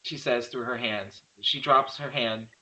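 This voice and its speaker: Bright-voiced man narrating a horror script. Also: mid-range voice, measured pace, muffled recording. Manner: plain stage-direction reading.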